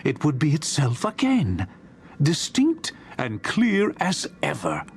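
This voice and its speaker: A man narrating a literary audiobook in a dramatic, theatrical style with deliberate emphasis. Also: fairly high pitch, wildly swooping pitch, slight background noise.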